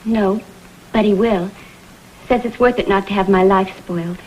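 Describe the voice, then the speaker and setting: A woman's voice: mid-range voice, normal speed, darkish tone, a little hiss or hum, lightly acted, poor audio. A woman playing an anxious, direct young daughter seeking the truth in a vintage TV crime drama. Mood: troubled.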